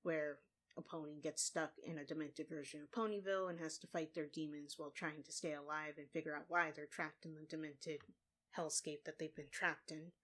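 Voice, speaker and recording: A woman's voice: low voice, fairly even intonation, brisk, fairly bright tone. A woman hosting a reaction video, talking in a casual, rambling way with asides.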